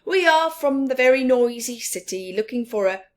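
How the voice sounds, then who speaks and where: A woman's voice: fairly high pitch, expressive, moderate pace, close to an everyday voice. A woman voicing a polite, eager and easily scared city fox in a children's storybook. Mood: polite, curious.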